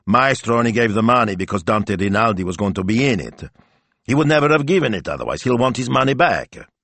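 A man's voice: lowish voice, sing-song, quick pace, fairly bright tone, no room sound, pitched above the performer's own voice, full character voice. A man voicing a doubtful, easily exasperated Italian husband in an audiobook, with a grumbling, hesitant manner. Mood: grumbling, protesting.

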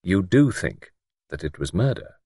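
A man voicing a wry, gently persistent gentleman investigator in an audiobook, asking patient, probing and direct questions. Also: mid-range voice, big pitch swings, normal speed, no echo, studio quality, pitched above the performer's own voice. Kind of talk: audiobook character dialogue.

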